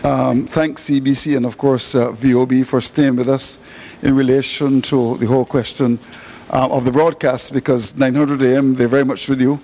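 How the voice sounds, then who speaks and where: A man's voice: medium pitch, level tone, normal speed, a little mellow, a little hiss or hum. A man hosting cricket radio, giving on-air thanks with jovial, laughing asides. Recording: dull broadcast audio.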